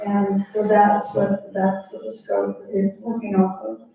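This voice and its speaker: A woman's voice: medium pitch, warm tone, a little hiss or hum. A woman planning consultant presents to a city commission, hesitant and full of fillers and restarts. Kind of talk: public meeting presentation. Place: council chamber. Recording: echoey chamber, distant mic, dull sound.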